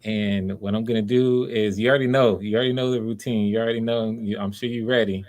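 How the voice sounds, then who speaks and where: A man's voice: lowish voice, steady tone, fast, darkish tone. A man chatting casually and encouragingly as he hosts online auditions. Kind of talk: casual livestream chat.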